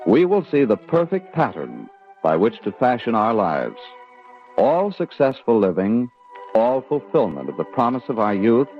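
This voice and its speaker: Male 1940s radio announcer, lowish and expressive, formal scripted delivery, music behind, muffled vintage sound. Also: slightly rough. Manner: formal, measured phrasing.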